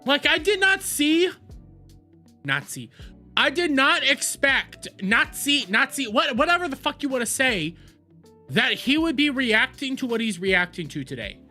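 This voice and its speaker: A man hosting a livestream, talking in a rambling, profane and excitable way while greeting his chat. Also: very high-pitched, measured pace, faint music behind. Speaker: livestream host.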